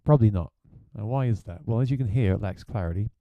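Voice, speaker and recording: A man with a deep voice, a gigging musician, chatting plainly through a gear review. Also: fast, dry recording, dull audio.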